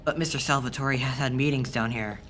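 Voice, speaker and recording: A man's voice: medium pitch, steady tone, fairly quick, fairly bright tone, close to an everyday voice. A man playing a weary, frightened informant confiding secrets in an old-time radio drama.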